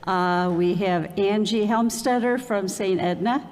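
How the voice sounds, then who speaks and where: A woman hosting an event, reading an introduction slowly and deliberately with ums. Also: medium pitch, a little mellow. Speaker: event host introducing the speaker. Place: parish hall or church. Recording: long reverb of a church hall.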